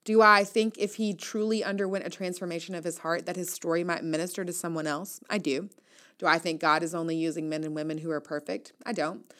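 A woman's voice: fairly low pitch, fairly even intonation, fairly quick, a bit bright. A woman in her thirties reading a first-person essay aloud as host, candid and reflective, with wry asides. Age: 30s. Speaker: newsletter writer and host reading an audio essay.

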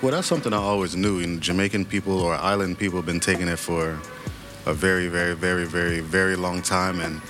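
A man from Florida with a very deep voice speaks as a guest. He is casual, plainspoken and confident, with a boastful seller's streak. Music plays clearly behind him. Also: steady tone, fast talker, fairly bright tone. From Florida, United States. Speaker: guest, sea moss vendor. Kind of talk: casual sales pitch and chat.

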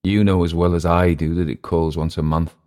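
Man doing a gruff older man, curt, blunt, low voice. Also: flat delivery, brisk, darkish tone, lightly acted.

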